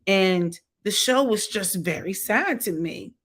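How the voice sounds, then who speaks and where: Middle-aged woman vlogging her hot takes, chatty and blunt, pitch right in the middle. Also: lively intonation, takes it slowly, a bit bright. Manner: chatty, candid, blunt, with mocking asides. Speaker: vlogger reviewing a TV show. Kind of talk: casual opinion commentary.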